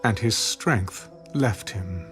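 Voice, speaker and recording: A man's voice: on the low side, takes it slowly, fairly bright tone. A man narrating scripture as a scripted, dramatic audio production. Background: music.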